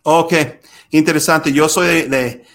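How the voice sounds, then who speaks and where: A young man from the United States hosts a casual interview podcast, asking easy questions with plenty of fillers. His voice sits a little high for a man. He keeps a relaxed, friendly pace. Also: a little slow. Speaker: podcast host.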